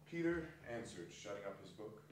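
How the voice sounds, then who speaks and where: In a very echoey hall, away from the mic, a man narrates a classic story. His medium-pitched voice moves expressively up and down over faint noise. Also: lively intonation, moderate pace, recorded from a distance. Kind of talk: scripted dramatic narration, stage reading.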